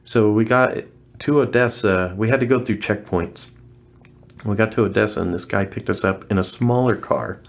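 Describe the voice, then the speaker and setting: A man's voice: fairly low pitch, normal speed, creaky. A middle-aged man, a guest on an interview podcast, earnestly recounting his experiences in a casual, conversational way with frequent restarts. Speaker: interview guest, former missionary.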